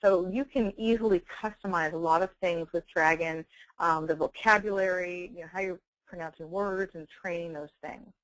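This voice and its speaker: A woman giving a webinar software demonstration, enunciating clearly as a presenter. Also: on the low side, steady tone, moderate pace, dry recording, rough recording. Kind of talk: webinar product demonstration with dictation. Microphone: close handheld speech mic.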